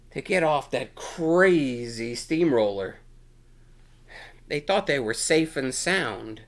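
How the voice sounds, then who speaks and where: A man reading a children's picture book aloud in a playful, exclamatory manner with dramatic emphasis. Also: sits high. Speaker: storytime reader.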